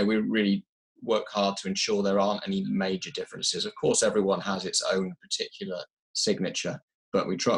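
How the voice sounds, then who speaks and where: Man, low even pitch, slightly rough voice, hesitant explanatory delivery, technical interview. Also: low-quality recording. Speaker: audio company representative being interviewed.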